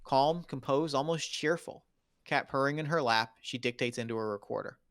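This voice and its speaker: A man's voice: mid-range voice, normal speed, fairly bright tone, dry recording. Man reading screenplay action, dry sound. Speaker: stage directions reader at a table read. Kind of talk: dramatic screenplay narration.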